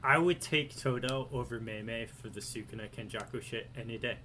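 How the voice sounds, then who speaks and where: Excitable, profane man on a podcast. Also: mid-range voice, fairly quick. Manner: excitable, profane, animated swings in loudness. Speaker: podcast co-host. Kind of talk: casual chat.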